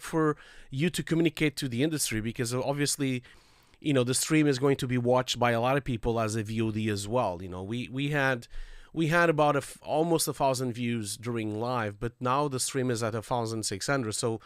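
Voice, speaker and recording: A man's voice: medium pitch, clear, resonant tone, no echo, studio quality. Stuffy, nasal man chatting on a stream. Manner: chatty and upbeat, addressing viewers directly. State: congested from a cold, audible breathing. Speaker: host of a livestreamed call-in show. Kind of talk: casual livestream chat. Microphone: close streaming microphone.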